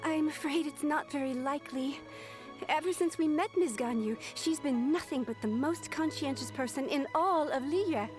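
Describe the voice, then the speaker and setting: A woman's voice: high voice, moderate pace, over music, lightly acted. An actress playing an earnest young female palace secretary who speaks formally and respectfully. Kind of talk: game voice acting.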